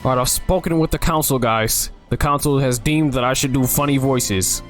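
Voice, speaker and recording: A man's voice: medium pitch, moderate pace, fairly bright tone. Male game streamer, mid-range voice, joking and crude, faint game music under the talk. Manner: casual, joking, swears often. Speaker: gaming video creator. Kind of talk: playing and reading a dating game aloud.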